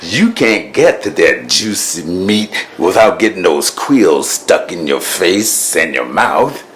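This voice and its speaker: A man narrating as a proud, grumpy male lion king in a storybook, slow, grand and huffy, growling now and then. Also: low-pitched, big pitch swings, fairly bright tone, slight background noise, heavily acted. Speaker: first-person narrator.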